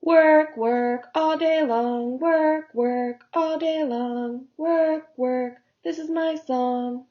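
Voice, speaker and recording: A woman's voice: sits high, steady tone, extremely slow, few pauses, muffled recording, pitched above the performer's own voice. A woman voicing a tiny, hard-working female ant from a children's storybook, earnest, scolding and emphatic. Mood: determined. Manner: emphatic, chanting. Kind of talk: children's storybook character voice with work chants.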